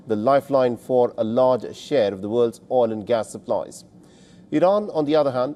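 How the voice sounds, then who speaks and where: A man's voice: mid-range voice, warm tone. A man reading scripted news narration as a presenter, in a formal, emphatic delivery.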